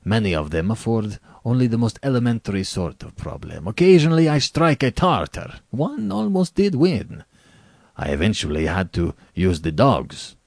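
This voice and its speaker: An urbane storybook villain acted out by a male reader, an arrogant general who hunts men. He speaks with suave, precise condescension, and his lowish pitch swings widely. The sound is dry. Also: big pitch swings, moderate pace, vocal fry, no echo, full character voice. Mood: smug.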